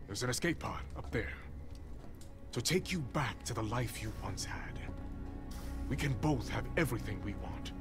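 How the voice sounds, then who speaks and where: An actor playing a smug, menacing prison warden in a cinematic game, speaking in measured phrases. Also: male voice, mid-range voice, animated, music in the background, close to an everyday voice. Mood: smug menace. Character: cold, imperious villainous prison warden.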